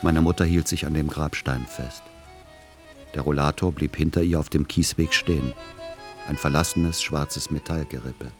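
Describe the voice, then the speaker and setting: An actor playing a middle-aged, exasperated literary aesthete son who also narrates a radio play in measured words. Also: male voice, a bass voice, steady tone, soft background music. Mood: melancholic, reflective.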